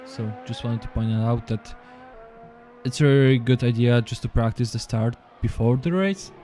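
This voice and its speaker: A man's voice: mid-range voice, warm tone, slight background noise. A man whose first language is Polish, commentating a sim racing session live, often repeating words and restarting sentences, with non-native English. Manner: halting, repeats words, apologetic. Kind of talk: live esports race commentary.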